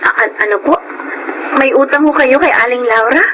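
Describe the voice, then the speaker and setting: Casting: woman as a tired, patient young breadwinner daughter, high polite voice, radio drama, noisy recording. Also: normal speed, natural voice. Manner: polite, long-suffering. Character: weary, dutiful young eldest daughter, breadwinner. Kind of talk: Filipino radio drama acting.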